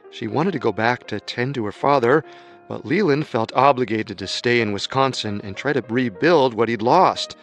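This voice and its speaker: A man narrating a true-crime radio drama in a steady, storytelling manner. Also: medium pitch. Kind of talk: scripted documentary narration.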